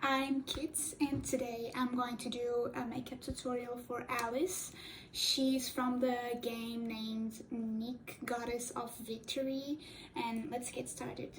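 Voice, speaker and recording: A woman's voice: fairly high pitch, little pitch movement, slow pace, noisy background. Chatty young woman, Portuguese native, playful. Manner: rambling, playful, self-correcting, giggly. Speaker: cosplay makeup vlogger. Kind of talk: casual chatty makeup tutorial.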